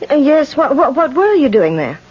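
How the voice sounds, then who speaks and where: An actress in an old radio drama playing a frightened, suspicious, strong-willed landlady, speaking in an anxious, insistent and halting way. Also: high-pitched, big pitch swings. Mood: tense, shaken. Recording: muffled, hissy old radio broadcast recording.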